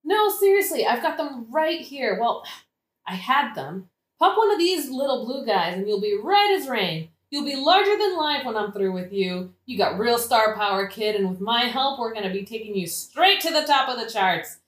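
A woman's voice: a little high, very animated, moderate pace. A woman playing a crazed, drunken young woman in a satirical audio play, rambling and cajoling like a showbiz agent. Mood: manic, cajoling. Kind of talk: satirical modern-language audio play acting. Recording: roomy, echoing audio-play recording.

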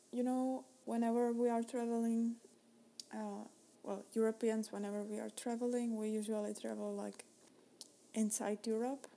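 A woman's voice: a little high, warm tone. Czech woman hosting a podcast. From Czech Republic. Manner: rambling, lots of fillers and restarts. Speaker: podcast host. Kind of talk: solo podcast introduction.

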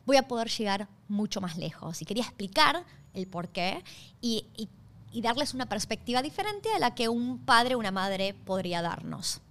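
A woman's voice: on the high side, big pitch swings, moderate pace, fairly bright tone. A woman with an Argentine accent hosting a podcast, talking conversationally in an explanatory way and checking in with listeners. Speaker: podcast host.

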